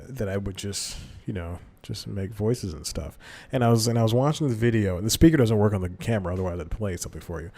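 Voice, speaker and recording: A man's voice: fairly low pitch, a little mellow. A young man hosting a casual solo podcast, rambling with many fillers and self-corrections into a desk microphone. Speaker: podcast host.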